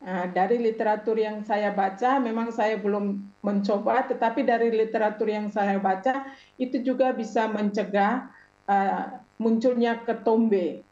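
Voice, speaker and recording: Woman expert on a talk show, kinda mellow, lots of ums, roomy dull sound. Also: mid-range voice, steady tone. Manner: measured, with many hesitations. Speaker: expert guest.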